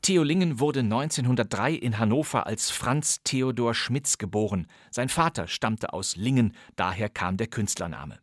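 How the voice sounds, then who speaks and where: A male podcast host speaking at a medium pitch into a close studio microphone, the sound completely dry. He introduces an archive radio play in a playful, chatty tone and clearly enjoys the old material. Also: lively intonation, moderate pace, few pauses, no room sound.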